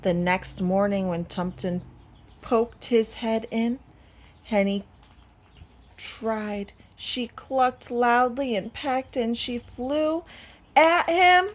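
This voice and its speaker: A woman reading a children's story aloud, expressively, with deliberate dramatic pauses. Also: on the high side. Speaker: storybook reader.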